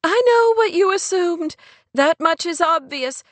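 A woman voicing an upset young woman who is sharp, tearful and demanding, in an audiobook romance. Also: extremely high pitch, animated, unhurried, no echo, voice pushed up, exaggerated voice. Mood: exasperated. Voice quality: trembling, tearful. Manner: sharp, demanding, near hysterical. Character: distraught, angry young woman, sarcastic and pushy.

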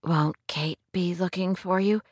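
A woman voicing a torn, anxious young woman in love for a romance audiobook, in a soft, breathy, pained murmur. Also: on the low side, steady tone, slow pace, no room sound. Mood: careful. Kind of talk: romance audiobook character dialogue.

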